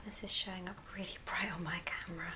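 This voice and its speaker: A woman whispers an unhurried, step-by-step craft tutorial in a breathy ASMR style, as the host demonstrating it. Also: on the low side, fairly even intonation. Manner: unhurried, murmured step-by-step narration. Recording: hissy background noise with small clicks.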